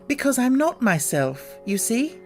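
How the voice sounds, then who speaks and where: A polite, puzzled young girl, as a man reads her, lifts her voice high and speaks earnestly. She sounds courteous but a little plaintive, with a touch of irritation beneath. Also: sing-song, unhurried, darkish tone, faint music behind, full character voice. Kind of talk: audiobook character voice.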